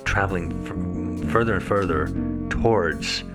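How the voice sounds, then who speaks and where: A middle-aged man speaking thoughtfully in a documentary interview, pausing and restarting as he gathers his thoughts. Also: low voice. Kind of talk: reflective personal interview. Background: loud guitar music.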